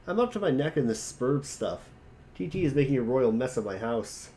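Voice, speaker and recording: Man playing an expressive, easygoing teenage boy. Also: mid-range voice, normal speed, a little hiss or hum, close to an everyday voice. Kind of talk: amateur dramatic reading.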